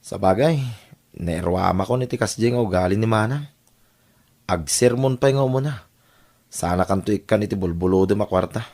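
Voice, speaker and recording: A man's voice: fairly low pitch, moderate pace, pauses often, darkish tone. A man with a Filipino accent playing a hard-up, caring husband and father in an audio drama. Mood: resentful. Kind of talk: Ilocano audio drama acting.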